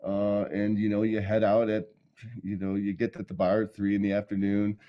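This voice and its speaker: A middle-aged man from Michigan, telling his life story as an interview guest in a relaxed way, with many ums and laughs. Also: on the low side, moderate pace, highs cut off. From Michigan, USA. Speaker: guest, sound healer.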